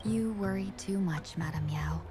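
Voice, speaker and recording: A woman's voice: on the low side, moderate pace, close to an everyday voice. A woman voicing a composed, weary young fox-woman envoy in a video game, speaking formally and with theatrical measure. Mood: composed, probing. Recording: music behind the voice.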